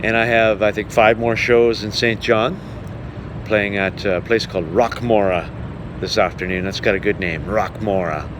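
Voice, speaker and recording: Man vlogging outdoors over clear background noise. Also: lowish voice, steady tone. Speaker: vlogging touring musician. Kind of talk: casual on-location travel vlog. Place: outdoors beside river falls. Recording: outdoor noise, traffic and rushing falls.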